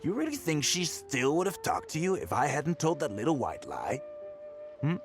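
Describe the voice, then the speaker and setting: A man's voice: fairly high pitch, very animated, moderate pace, soft background music. An actor playing a pushy, scheming male newspaper boss in a video game, talking down to people in a scornful, bullying way. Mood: gloating, scheming. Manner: condescending, hectoring, slangy.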